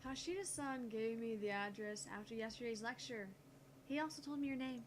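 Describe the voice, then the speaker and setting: A woman's voice: fairly high pitch, fairly quick, a little hiss or hum, lightly acted. A woman voicing a brusque, self-assured eighteen-year-old female genius scientist in a visual novel, curt and no-nonsense. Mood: accusing, cool. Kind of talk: visual novel read aloud in character.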